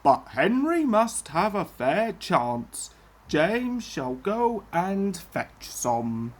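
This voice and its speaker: Storybook railway controller, stern businessman, authoritative, slow delivery with lively pitch played by a woman. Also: heavily acted. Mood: thoughtful. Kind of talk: children's storybook reading.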